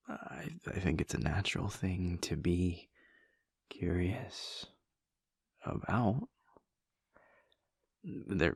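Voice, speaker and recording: A gentle vampire lover played by a man speaks slowly and close to the listener in an intimate audio roleplay. His voice is low and breathy, often near a whisper in a very dry recording. He is earnest and hesitant, stumbling softly over his words. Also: no echo, exaggerated voice.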